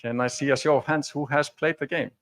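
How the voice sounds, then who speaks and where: A man's voice: a little high, steady tone, brisk, a bit bright. A man from Iceland, speaking as a conference presenter in an informal, explanatory and conversational way during a technical demo talk. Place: conference stage before an audience.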